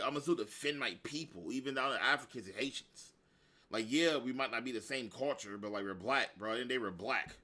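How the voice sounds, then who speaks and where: A man ranting casually in heavy slang and profanity, animated and blunt. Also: mid-range voice. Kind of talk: casual rant.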